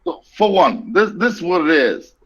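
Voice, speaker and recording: A man's voice: fairly high pitch, big pitch swings, normal speed, fairly bright tone, lo-fi sound. A man whose native language is Romanian, speaking English in casual, argumentative banter on an online call, sweary and mock-indignant. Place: online video hangout.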